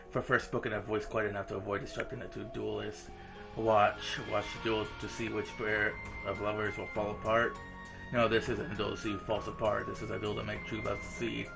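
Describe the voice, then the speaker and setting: A man's voice: lowish voice, gravelly. A man reading visual novel dialogue aloud as a game streamer, in a casual, informal manner. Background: visual novel game music.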